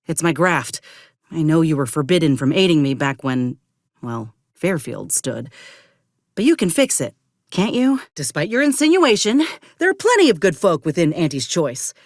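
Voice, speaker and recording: A stubborn frontier soldier in a video game. Her voice sits low and moves freely up and down, with folksy, blunt phrasing and a dry wit. The sound is close and dry. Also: big pitch swings, normal speed, dry recording, full character voice. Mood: pleading, then measured, determined. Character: plainspoken, stubborn woman soldier with frontier drawl. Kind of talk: video game character dialogue.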